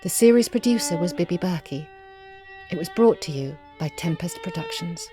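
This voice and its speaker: A woman narrating a literary short story expressively, in measured, evocative phrases with hushed moments. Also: fairly low pitch, lively intonation, music in the background. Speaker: story reader.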